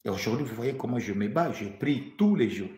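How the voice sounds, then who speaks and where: A man's voice: medium pitch, lively intonation, fairly quick, mellow, reverberant. A man from the Democratic Republic of the Congo preaching a prophetic sermon, fervent, repeating phrases for emphasis and stammering when he restarts.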